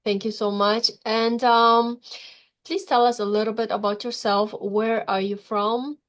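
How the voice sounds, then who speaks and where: A woman's voice: a little high, level tone, a little slow. A woman from Europe speaking as the host of an interview podcast.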